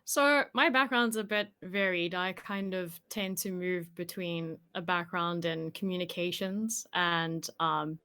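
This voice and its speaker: A woman's voice: lowish voice, measured pace, hardly any pauses, bright, forceful tone, smooth. A woman working as a podcast producer, answering interview questions in a thoughtful, explanatory way.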